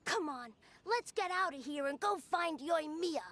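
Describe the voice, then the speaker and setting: Piercing, very high village child. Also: female voice, animated, a little slow, fairly bright tone, a little hiss or hum, full character voice. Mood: insistent. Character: stubborn, earnest young village child. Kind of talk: anime video game voice acting.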